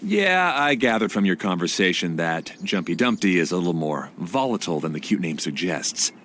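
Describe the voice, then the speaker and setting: Animated, laid-back young guy. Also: deep voice, normal speed, a little hiss or hum. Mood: easygoing. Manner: casual, slangy, easygoing. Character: laid-back, friendly young male rail caretaker. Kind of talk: anime game voice acting.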